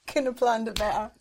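A woman's voice: fairly high pitch, a little hiss or hum. A Scottish woman hosting a podcast interview, asking questions with enthusiastic, effusive praise. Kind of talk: friendly interview chat.

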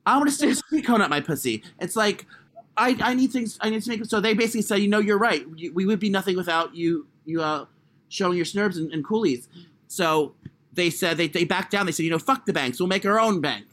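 Crude, rambling man with a high voice. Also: expressive, a little fast, fairly bright tone. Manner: crude, rambling, self-mocking. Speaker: podcast co-host. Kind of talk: casual chat.

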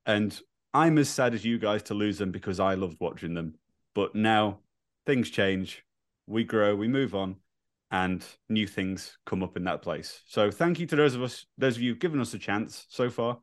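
A man's voice: lowish voice, expressive, normal speed. A man co-hosting a podcast, speaking candidly and reassuringly to viewers, admitting he is a bit nervous. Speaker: podcast co-host. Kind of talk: earnest address to viewers.

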